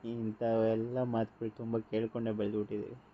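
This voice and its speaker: A man's voice: fairly low pitch, level tone, brisk. A man on a phone call, resigned and sarcastic in an argument. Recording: dull phone call with faint hiss.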